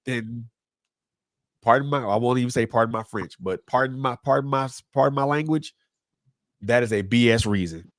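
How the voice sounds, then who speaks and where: A man is a guest on a discussion panel, with a medium-pitched voice and a dry sound. He argues emphatically in a preacherly way, circling back and repeating phrases for weight. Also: normal speed, dry recording.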